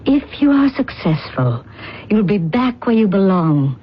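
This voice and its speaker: A woman's voice: medium pitch, sing-song, measured pace, slightly rough. An actress playing a resolute alien woman in love, in a radio drama, speaking earnestly and formally. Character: resolute alien woman, the captain's secret beloved. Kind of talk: old-time radio drama acting. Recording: dull, muffled radio drama recording.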